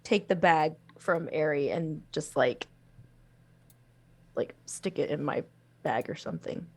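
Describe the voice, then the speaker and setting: A woman's voice: sits low, unhurried, slight background noise. A woman playing in a tabletop game, chatting casually and playfully. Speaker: player. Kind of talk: casual chat.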